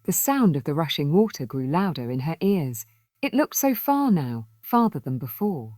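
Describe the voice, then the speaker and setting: Woman storyteller, lowish pitch, lively intonation, gentle children's narration, dry close sound. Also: normal speed, no room sound.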